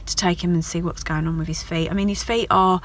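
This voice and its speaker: A woman's voice: on the low side, steady tone, fast talker, a little hiss or hum. Chatty woman vlogging. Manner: chatty, rambling, talks straight to viewers. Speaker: vlogger and hairdresser. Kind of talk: casual video diary chat.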